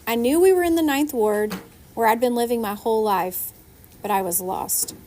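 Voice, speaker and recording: A woman narrating a children's novel aloud in an expressive storytelling style. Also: fairly high pitch, normal speed. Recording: faint background noise.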